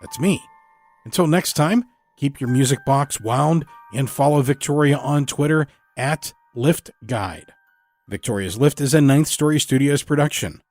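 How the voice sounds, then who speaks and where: A man's voice: medium pitch, unhurried, darkish tone, soft background music. A man who produces the show reading scripted podcast credits as an announcer.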